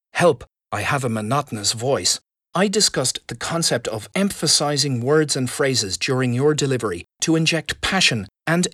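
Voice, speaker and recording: A man giving a measured, expressive lesson on public speaking. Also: medium pitch, no echo, studio quality. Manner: expressive emphasis, measured delivery. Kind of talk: instructional talk.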